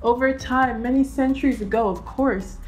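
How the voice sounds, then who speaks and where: A bright, fairly high-voiced young Nigerian woman talks straight to camera in a cheerful home vlog. She rattles through tips with playful slang and quick asides. Soft music plays faintly behind her. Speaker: natural hair vlogger. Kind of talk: chatty hair-care vlog. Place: indoor home studio.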